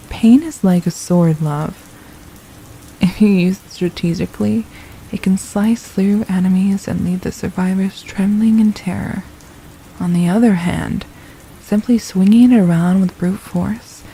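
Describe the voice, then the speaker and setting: Woman acting a very dark-toned, gravelly villainess. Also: on the low side, slight background noise, rough recording. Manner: menacing, mocking, silky and deliberate. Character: possessive, condescending female royal villain holding a captive. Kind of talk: ASMR roleplay, intimate scripted monologue.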